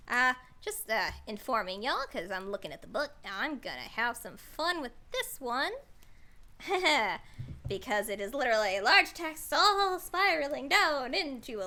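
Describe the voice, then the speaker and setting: A woman's voice: sits high, sharp tone. A woman live-streaming a read-aloud of a children's story, chatty and playful, with casual, self-deprecating asides.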